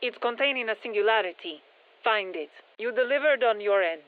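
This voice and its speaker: A woman playing a brisk, tech-minded science operative in a video game, giving matter-of-fact, wry mission instructions over a radio filter. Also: a little high, lively intonation, phone-quality sound. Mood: brisk, instructional. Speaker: mission handler. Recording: thin band-limited radio comms sound.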